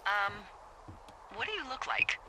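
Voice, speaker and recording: A woman's voice: a little high, sing-song, unhurried, background noise. An actress playing a wry, teasing middle-aged female fire lookout supervisor in a video game, American, talking playfully and conversationally over a two-way radio. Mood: teasing, curious. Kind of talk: naturalistic video game dialogue.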